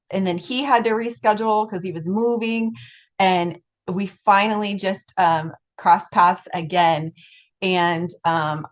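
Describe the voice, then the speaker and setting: Enthusiastic woman hosting a casual interview. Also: medium pitch. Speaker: podcast host, interviewer.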